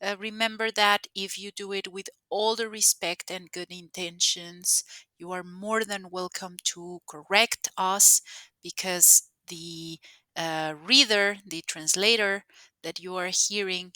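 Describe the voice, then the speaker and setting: A woman with a Spanish accent, a native Spanish speaker, sight-translating a book into English, halting and correcting herself with many fillers. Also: on the low side, steady tone, sharp tone, no echo, low-quality recording. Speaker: translator reading a book aloud. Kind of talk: live sight translation reading.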